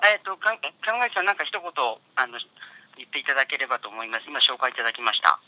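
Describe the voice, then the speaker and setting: A man's voice: medium pitch, big pitch swings, racing, no echo. A man working as an interpreter on a press call, translating hesitantly and repeating words, speaking over a telephone. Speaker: interpreter between Japanese and English. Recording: dull lo-fi telephone conference line.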